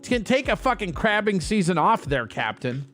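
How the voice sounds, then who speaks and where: A man's voice: high voice, very animated, moderate pace, fairly bright tone, faint music behind. A man hosting a late-night stream, teasing his guest in sarcastic, joking banter with an American accent. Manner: teasing, sarcastic, lots of sighs and groans. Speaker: stream host. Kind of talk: casual comedic banter.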